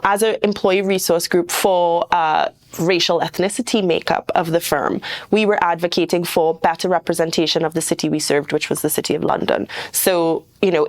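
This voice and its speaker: A middle-aged woman from Trinidad, a lawyer speaking as a guest in a podcast interview, talking thoughtfully and restarting her sentences often. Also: on the low side. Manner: thoughtful, with frequent fillers and restarts.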